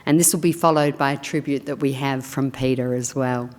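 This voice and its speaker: A woman serving as funeral celebrant reads a tribute in a slow, measured and solemn manner. Her voice sits very low for a woman. A long hall reverb trails after her words. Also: steady tone, darkish tone. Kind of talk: reading a eulogy aloud.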